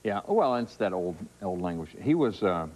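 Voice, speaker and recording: A man's voice: deep voice, big pitch swings, normal speed, darkish tone. A man co-anchors a morning TV show in casual banter. His voice moves with plenty of variation, and the old VHS broadcast recording carries a faint noise.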